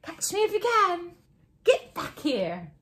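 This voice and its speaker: A woman voicing an excitable, playful puppy in a children's storybook, eager and exclaiming. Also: very high-pitched, slow, a little hiss or hum, pitched above the performer's own voice, heavily acted.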